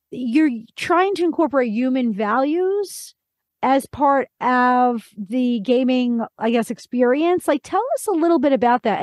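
A middle-aged woman interviews a guest in a conversational, affirming manner. Her voice sits a little high for a woman, and the sound is close and dry with hardly any room in it. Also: darkish tone, dry recording. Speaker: podcast host interviewer.

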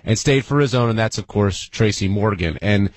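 A man's voice: fairly low pitch, normal speed, no echo. A man hosting a conversational radio discussion, setting up topics and then putting questions to the others. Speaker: radio recap show host.

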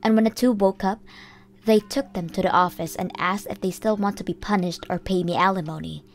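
A woman giving an earnest, scripted first-person narration of a story. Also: mid-range voice.

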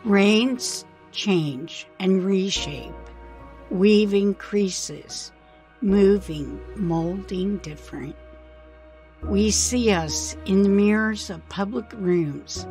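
A woman recites poetry at an extremely slow pace, measuring out each phrase. Her pitch is average for a woman, and soft music plays faintly behind her. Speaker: poet reading her own poem.

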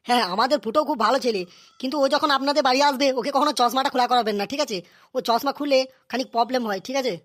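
A performer voicing an excitable, scheming village elder in a comedy cartoon, coarse and quick to scold and haggle. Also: high-pitched. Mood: neighbourly.

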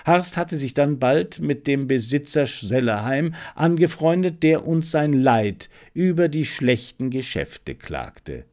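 A man's voice: mid-range voice, darkish tone. A man reading a detective story aloud as a scripted audiobook narration.

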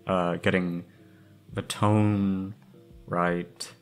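A man's voice: sits low, level tone, extremely slow, smooth, faint music behind. A young man, a voice actor, chatting casually to listeners in an effusive, sweary, slangy way. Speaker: voice actor, channel host. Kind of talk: casual outro chat.

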